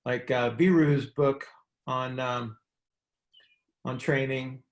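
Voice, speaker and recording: A man's voice: medium pitch, steady tone, takes it slowly, reverberant. A man giving conversational expert advice as a coach on a group call, explaining things and checking in with rhetorical questions. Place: indoor room, on a conference call.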